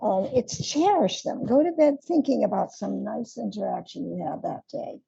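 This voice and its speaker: Woman on a panel thinking out loud, husky dark voice, lots of ums, dull sound. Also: medium pitch, sing-song, moderate pace. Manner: reflective, with many fillers. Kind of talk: reflective panel talk.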